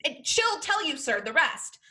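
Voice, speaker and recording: A woman playing a spirited, teasing young gentlewoman in a Restoration comedy, with arch, emphatic theatrical delivery. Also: high voice, very animated, measured pace, exaggerated voice. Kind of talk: theatrical period comedy acting.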